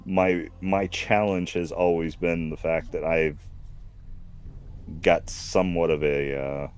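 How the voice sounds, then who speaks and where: A man in his fifties hosting a casual stream chat, slow and reflective, full of fillers and restarts. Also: low voice, fairly even intonation, clean tone. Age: 50s. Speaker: stream host.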